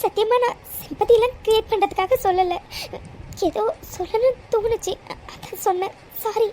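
An actress playing a young orphaned woman who talks through tears, halting and repeating her words. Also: very high-pitched, dark tone, slight background noise. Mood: tearful. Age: young adult. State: crying. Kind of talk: romantic drama acting.

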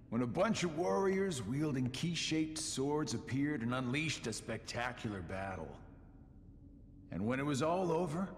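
A man's voice: medium pitch, long reverb tail, slight background noise. A man voicing a sly, mocking middle-aged schemer in a video game, with a teasing, theatrical drawl. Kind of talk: video game cutscene acting.

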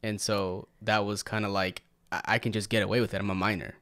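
A young man co-hosting a podcast in relaxed casual chat at a studio mic. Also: fairly low pitch, brisk, dry recording. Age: young adult. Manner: relaxed, easygoing, many fillers. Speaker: podcast co-host. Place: small studio room with purple lights, indoors. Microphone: studio mic on a stand with headphones.

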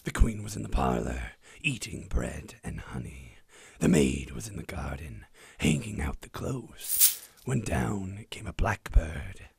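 A twisted radio-drama villain, with an extremely deep, badly rasping voice. He recites rhymes in a sinister sing-song, swooping about, close and dry. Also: big pitch swings, unhurried, hardly any pauses, no echo, exaggerated voice. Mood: creepy, mocking. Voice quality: gravelly, raspy. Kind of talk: fan radio drama acting.

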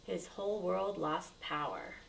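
A woman's voice: lowish voice, sing-song, unhurried, creaky, a bit bright, distant mic, slight background noise, rough recording. A woman reading a rhyming picture book aloud to children as a storyteller, with dramatic, emphatic delivery.

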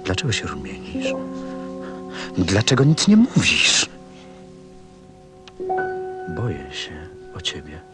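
Deep-voiced, unhurried, tender pilot. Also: male voice, heavily acted. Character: tender, thoughtful stranded pilot, a grown man. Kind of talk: radio play acting and first-person narration.

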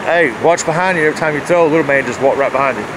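A middle-aged man, chatting casually in a folksy, plain-spoken way for an outdoor fishing vlog. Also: on the high side. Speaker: fishing vlogger. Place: outdoors on a rocky riverbank. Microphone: handheld phone at arm's length. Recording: noisy outdoor phone video, rushing water. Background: rushing river water and outdoor ambience.

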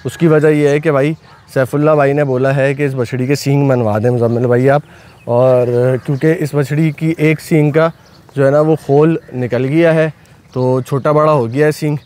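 A man's voice: fairly high pitch, fairly even intonation, normal speed, darkish tone. A young man with a Pakistani Urdu accent, a cattle farm owner chatting casually and enthusiastically from behind the camera outdoors. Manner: enthusiastic, repeats blessings often. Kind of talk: casual vlog narration.

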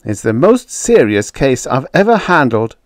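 A man's voice: mid-range voice, very animated, no echo, voice pushed up. Storybook role: canny veteran attorney, played by a man, firm and dry, in a close dry recording. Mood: guarded, resolute. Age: older. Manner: dry, mocking, deliberate. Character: tall, fierce old defense lawyer, dry and shrewd.

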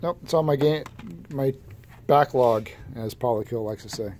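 A man's voice: mid-range voice, normal speed, a little mellow. A man talking casually in a terse, matter-of-fact way, listing items as he shows them, recorded indoors on a phone. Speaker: podcast co-host showing collection pickups. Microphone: handheld phone camera mic. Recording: noticeable background noise, amateur phone video.

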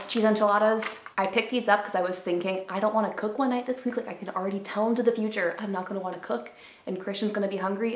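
A woman's voice: medium pitch, reverberant, a little hiss or hum. A woman in her twenties chatting casually to camera in her living room, upbeat and full of "like" fillers, showing off groceries. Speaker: lifestyle vlogger. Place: living room at home, indoors.

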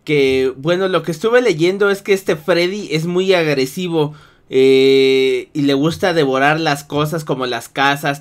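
A man playing an excitable child adventurer in an improvised Minecraft roleplay, urgent and dramatic. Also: on the high side, close to an everyday voice. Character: excitable child adventurer raising the alarm about a monster.

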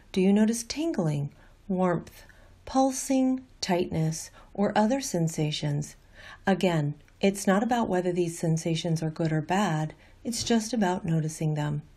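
A woman with a mellow, fairly low voice leads a guided meditation. She reads her scripted instructions gently and calmly. Her delivery is unhurried, like a teacher walking listeners through each step.

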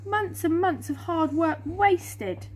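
A woman's voice: extremely high pitch, expressive, voice pushed up, heavily acted. A woman with an English accent voicing a despairing, helpless male bootmaker in a storybook, moaning gloomily. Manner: moaning, drawn-out. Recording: outdoor phone recording with background hum.

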